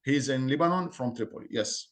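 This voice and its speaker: A man from Syria with an Arabic accent, a community guest speaking earnestly in halting English and repeating words as he searches for them. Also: medium pitch. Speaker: guest, Assyrian community member. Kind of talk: interview on a call-in podcast.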